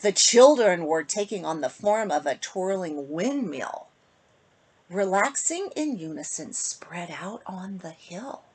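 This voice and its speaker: A woman reading a rhyming picture book aloud to children in an expressive, sing-song manner, with phrases that often end softly. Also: lowish voice, takes it slowly, breathy edge, low-quality recording. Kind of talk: storybook read-aloud for children.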